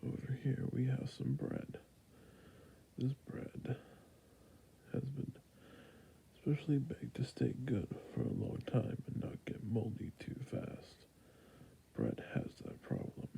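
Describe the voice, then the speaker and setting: A man playing a friendly, patient fantasy shopkeeper in an ASMR roleplay, speaking in a soft, breathy near-whisper with creaky endings and a gentle sales manner. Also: medium pitch, a little hiss or hum, exaggerated voice, poor audio.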